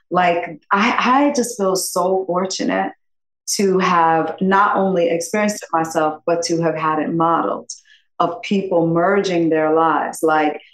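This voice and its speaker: A woman in her fifties from upstate New York talks as a podcast guest. Her voice sits low. She rambles effusively and laughs often, and an echoey room rings around her. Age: 50s. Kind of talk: casual interview chat.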